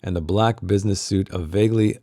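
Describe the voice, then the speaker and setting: A man with a lowish, very mellow voice, close and dry with no room sound. He reads fiction aloud as a book club host, slipping into informal asides as he goes. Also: fairly even intonation, a little fast, no echo. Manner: informal asides, acts out character dialogue. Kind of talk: reading a novel aloud with casual commentary.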